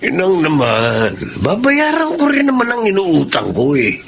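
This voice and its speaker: A whiny evasive dad begging, high voice that swings around a lot, radio drama. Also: male voice, huge pitch swings, unhurried, a little hiss or hum, heavily acted. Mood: pleading. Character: pleading, debt-ridden middle-aged father, evasive with his mother.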